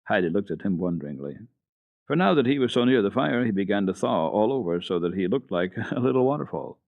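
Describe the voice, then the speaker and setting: Man with a lowish voice reading a kids' book aloud on radio, slow and expressive, dry sound. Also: creaky, no room sound, narrow, tinny audio. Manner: unhurried, expressive reading with occasional hesitations. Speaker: storyteller on a children's radio program. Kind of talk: dramatic reading of a children's book.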